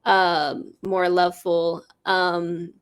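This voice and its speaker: A woman giving reflective, conversational answers as an interview guest, with hesitant, measured phrasing. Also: fairly low pitch, completely flat delivery, clear, resonant tone. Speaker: writer-director, interview guest.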